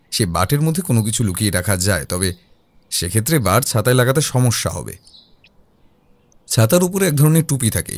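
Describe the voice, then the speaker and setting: A man's voice: on the low side, a little mellow, no room sound. A man reading a scripted first-person detective story as its narrator.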